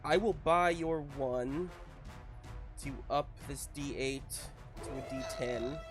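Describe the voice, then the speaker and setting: A man's voice: medium pitch, slow, over music. A man speaking as game master, narrating a live tabletop game casually in a hesitant, improvised way.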